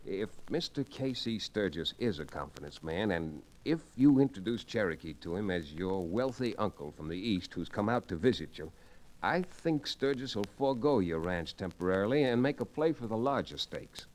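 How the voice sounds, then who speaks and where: An actor playing an easygoing, wry cowboy hero who also narrates an old-time radio Western. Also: male voice, on the low side, lively intonation, moderate pace, darkish tone, close to an everyday voice, low-quality recording. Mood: amused, wry. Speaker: lead character and narrator. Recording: old radio recording with faint noise.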